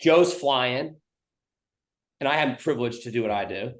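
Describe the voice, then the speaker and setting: A man's voice: mid-range voice, lively intonation, normal speed. A man hosting a podcast in loose casual chat, with frequent restarts and swearing. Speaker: podcast host. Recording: roomy echo.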